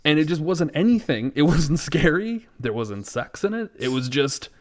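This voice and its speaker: A man's voice: on the high side, animated, normal speed. A man co-hosting a podcast in casual chat, talking in a rambling, exasperated and incredulous way. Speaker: podcast co-host. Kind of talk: casual chat, film ranting.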